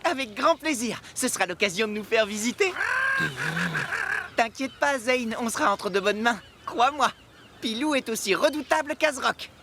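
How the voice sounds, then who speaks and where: A performer voicing a cheerful, curious young child hero in a French animated series, eager and expressive, full of exclamations. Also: mid-range voice, moderate pace, heavy background noise, close to an everyday voice. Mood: friendly.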